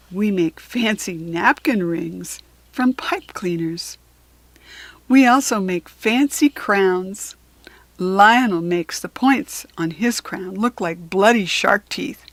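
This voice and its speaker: A woman with an American accent reading a children's story aloud, expressive and clearly phrased for young learners. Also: medium pitch, no echo. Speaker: children's book reader.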